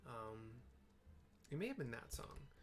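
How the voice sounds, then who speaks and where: A man's voice: medium pitch, sing-song, unhurried. A man giving casual, rambling, offhand commentary as he plays through a video game. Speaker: gaming video host. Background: background music.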